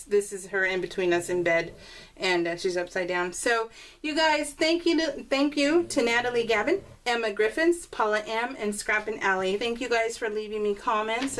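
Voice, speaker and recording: A woman chatting casually and telling stories in a rambling way as she hosts a craft video, often acting out what people said. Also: medium pitch. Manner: rambling, animated retelling with quoted dialogue; laughs often while talking. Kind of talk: casual chatty storytelling over a scrapbooking process video. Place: indoors at a craft table. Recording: voice distant from the mic.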